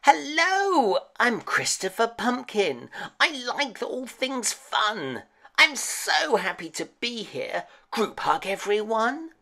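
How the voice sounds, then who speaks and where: Woman doing a high, bubbly little pumpkin boy. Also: sing-song, slow, fairly bright tone, heavily acted. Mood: cheerful. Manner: bubbly, friendly, eager. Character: cheerful, kindly, fun-loving young male pumpkin.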